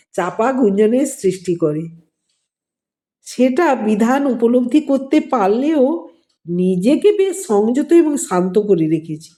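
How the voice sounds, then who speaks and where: Lady telling a story, really unhurried, mellow tone, expressive literary reading. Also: fairly high pitch. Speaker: storyteller narrating own written story. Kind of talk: scripted dramatic story narration.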